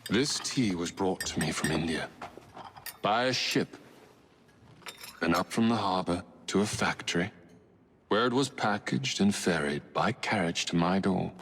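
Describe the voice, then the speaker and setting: Deep, husky, menacing tycoon villain. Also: male voice, a bit bright, full character voice. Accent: English. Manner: pompous, slow, deliberate oratory. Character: menacing, haughty male Victorian industrial tycoon villain. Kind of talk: dramatic video game acting. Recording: reverberant hall sound.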